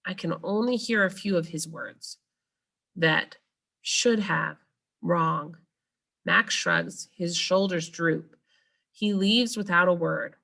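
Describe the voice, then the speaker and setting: A woman's voice: on the low side, creaky, sharp tone. A woman reading a children's novel aloud as a storyteller. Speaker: read-aloud reader.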